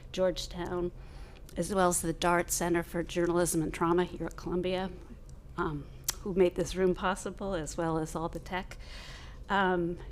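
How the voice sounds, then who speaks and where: A woman hosting an event, giving a formal, measured and somewhat hesitant welcome speech. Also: fairly low pitch, a little hiss or hum. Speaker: event host, humanities center director. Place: event room at a university.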